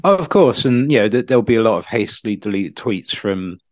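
A man's voice: on the low side, animated, brisk, no room sound. A man co-hosting a football podcast, casually analysing in rambling, stop-start sentences full of asides.

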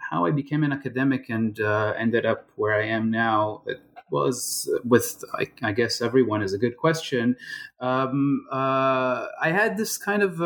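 A middle-aged man from Israel, a scholar answering interview questions slowly, with many fillers and restarts. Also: mid-range voice, a bit bright. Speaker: guest scholar.

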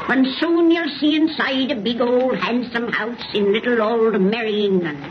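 A woman's voice: a little high, measured pace, lightly acted. A woman playing a gleeful, macabre old witch who tells tales in a folksy, gloating, cackling way, in an old radio horror style. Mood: gleeful, ghoulish. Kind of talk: 1930s radio horror acting. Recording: muffled, noisy old radio recording.

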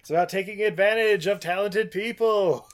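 A man's voice: sits high, moderate pace. Giggly man, rambling film recap. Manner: laughs often, rambling with many fillers. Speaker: podcast co-host. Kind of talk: casual chat recapping a film.

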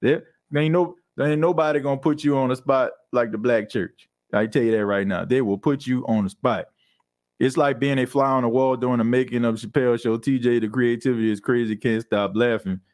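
A young man chats loosely as a podcast host, reacting to comments. He laughs often and breaks into lively impressions of churchgoers. His voice sits in an ordinary middle pitch. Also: a little fast. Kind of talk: casual chat reading live comments.

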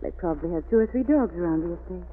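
A woman's voice: lowish voice, lively intonation, rapid-fire, natural voice. Casting: woman as swooping, sardonic society lady, classic radio acting, hissy narrowband sound. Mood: uneasy. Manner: theatrical, sardonic, complaining. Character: elegant, exasperated society woman, affectionate companion. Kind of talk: 1940s radio drama acting. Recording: tinny noisy old radio recording.

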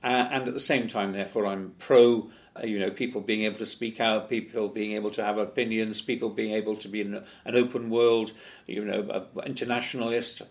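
A man's voice: fairly low pitch, flat delivery, a little fast. An older man from Wales, talking as a guest in a conversational interview, chatty and full of fillers and restarts. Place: office in Parliament.

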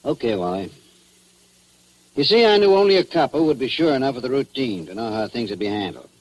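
An actor playing a shrewd, knowing, quietly regretful police chief in 1940s radio drama style. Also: male voice, medium pitch, very animated, brisk, dry recording, highs cut off. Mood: accusing.

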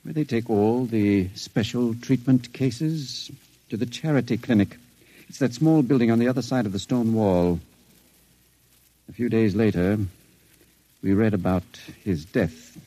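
An actor playing an intelligent, sensitive long-term sanitarium patient in an old radio drama, speaking in a measured, cautious, educated way. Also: male voice, lowish voice, dark tone, dry recording, poor audio. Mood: quietly confiding.

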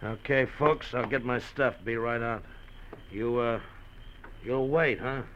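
An actor playing a smug, menacing crook in a vintage radio drama, mock-friendly and clipped, on a muffled noisy recording. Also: male voice, mid-range voice, steady tone, slow pace, close to an everyday voice. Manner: mock-friendly, threatening, clipped slangy talk. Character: menacing, smug male crook shadowing an old pal. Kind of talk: 1940s radio drama acting.

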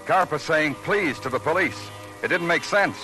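An American actor playing a curt, world-weary police detective in an old radio noir drama, with terse, deadpan delivery. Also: male voice, a little high, moderate pace, soft background music, low-quality recording. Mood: brooding, grim. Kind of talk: 1940s-50s radio noir acting and narration. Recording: old radio broadcast recording.

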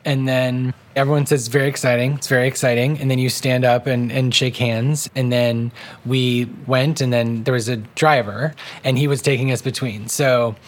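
Casual man, mid-pitched, telling an anecdote. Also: steady tone, normal speed, few pauses. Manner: acts out other people's remarks. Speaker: TV writer and podcast creator being interviewed.

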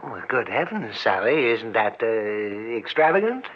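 An actor in an old radio drama playing a timid, dutiful assistant who is hesitant, stammering and easily flustered. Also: male voice, fairly low pitch, big pitch swings, unhurried. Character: timid, flustered, dutiful male small-town mayor's assistant. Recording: dull noisy vintage radio recording.

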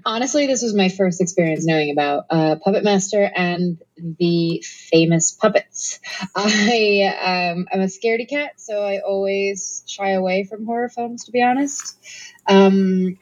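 A woman from Norway, an actress talking as a guest in a casual interview, chatty and quick to laugh, with many ums. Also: mid-range voice, darkish tone. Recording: slightly muffled recording.

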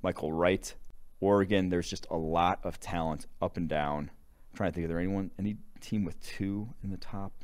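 A young man with a very low voice, co-hosting a casual football podcast. He rattles off long lists of prospects and rankings. He is from Wisconsin. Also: moderate pace. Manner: animated, rattles off player lists. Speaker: podcast co-host, draft analyst. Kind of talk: casual sports analysis chat.

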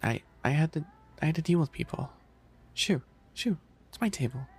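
A man playing a flustered, bookish animal-boy maid cafe manager in boyfriend ASMR roleplay, speaking softly and hesitantly with a teasing sweetness. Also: fairly high pitch, lively intonation, moderate pace, husky. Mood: indignant, flustered.

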